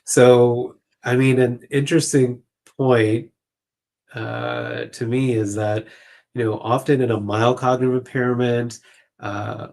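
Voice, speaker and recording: A man speaking as a clinician guest, explaining things conversationally in a halting, informal way with lots of filler phrases. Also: mid-range voice, vocal fry, darkish tone. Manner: halting, informal, peppered with "you know" and "like".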